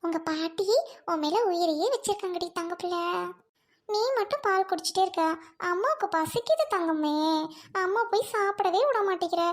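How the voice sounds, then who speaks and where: A woman voicing a gentle elder daughter-in-law who has just had a baby, speaking softly and politely. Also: extremely high pitch, expressive, normal speed. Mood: cooing.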